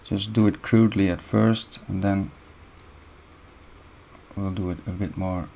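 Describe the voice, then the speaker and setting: Gravelly Dutch-accented man teaching software. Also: low-pitched. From Netherlands. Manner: steady step-by-step instruction with short pauses. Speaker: video editing tutor. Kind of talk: screen-recorded software tutorial.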